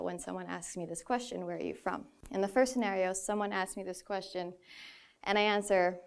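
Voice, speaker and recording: A woman's voice: fairly low pitch, creaky. A woman in her twenties from the Philippines, giving a prepared stage talk in an earnest way with a few light laughs at herself. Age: 20s. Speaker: speaker. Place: stage in a large hall.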